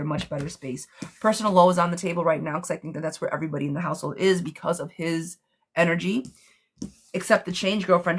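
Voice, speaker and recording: A woman with a hoarse, smoky voice, doing a chatty live tarot reading while sick with a cough. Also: fairly low pitch. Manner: chatty, reassuring, calls listeners girlfriend. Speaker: tarot reader.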